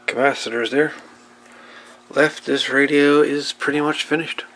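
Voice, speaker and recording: A man giving casual, unscripted narration at a workbench in a halting, muttering way as he works. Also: a little high, steady tone, a bit bright. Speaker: hobbyist host of radio repair videos. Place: indoor workbench. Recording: noisy home-camera audio with clicks.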